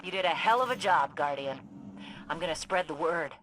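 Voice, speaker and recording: An actress playing a tough, weary woman militia leader in a video game, speaking blunt and gruff. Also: deep voice, lively intonation, normal speed, heavy background noise. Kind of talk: video game cutscene acting. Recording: loud game music behind.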